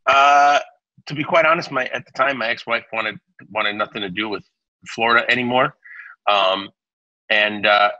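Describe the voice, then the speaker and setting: A man's voice: medium pitch, normal speed, bright, forceful tone, no room sound, muffled recording. A man in his forties from Naples, Italy, a restaurant owner telling his story in a casual interview, chatty with many restarts and fillers. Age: 40s.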